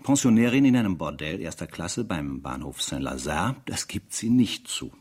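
A man's voice: low-pitched, very animated, normal speed, darkish tone, husky, heavily acted. An actor playing a sardonic, weary male investigator who narrates a spy case theatrically in a radio play. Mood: dry, insinuating. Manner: sardonic, theatrical, rhetorical asides.

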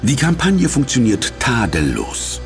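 An actor playing an imperious corporate executive in an audio drama, formal and smug, quick to fawn on superiors. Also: male voice, medium pitch, huge pitch swings, faint music behind. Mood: smug, ingratiating. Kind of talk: German audio drama acting.

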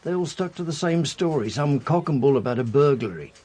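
A man's voice: a little high, animated, brisk, warm tone, breathy edge. An actor playing a weary, sceptical male police superintendent in a radio drama, dry and dismissive.

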